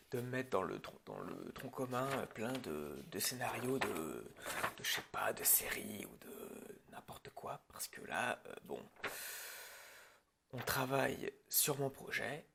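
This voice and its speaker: A man improvising an ASMR roleplay as a film director, talking in a halting, rambling way that often drops toward a whisper. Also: mid-range voice, vocal fry, background noise.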